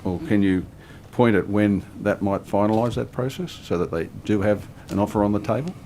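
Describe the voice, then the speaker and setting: A man's voice: on the low side, fairly quick, warm tone, slight background noise. An Australian man on a parliamentary committee pressing a minister with questions, often repeating words as he starts.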